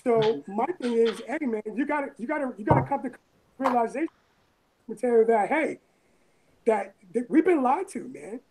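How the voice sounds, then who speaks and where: A woman from Brooklyn with a raspy voice, talking in a rambling, emphatic way in an interview, often repeating phrases. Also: fairly high pitch, animated, normal speed, mellow, slight background noise, poor audio. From Brooklyn, New York. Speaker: interviewee, former firefighter and EMT. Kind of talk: casual interview talk.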